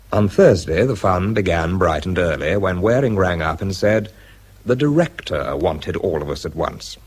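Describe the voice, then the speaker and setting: An actor with a British accent playing a bitter, self-pitying research scientist in a radio drama, dry and clipped. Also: male voice, low-pitched, husky. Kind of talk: British radio drama acting and first-person narration.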